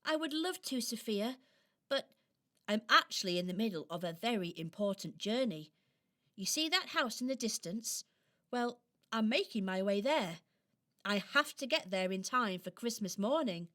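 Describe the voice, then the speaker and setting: A British woman playing a sweet, polite young female traveller in a children's radio play, gentle and earnest. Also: fairly high pitch, expressive, moderate pace.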